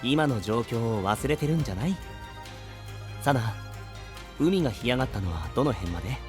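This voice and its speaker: A man playing a calm, caring young leader in an anime-style audio drama, reassuring and gently protective. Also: mid-range voice, moderate pace, long reverb tail, over music, close to an everyday voice. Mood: calm, decisive.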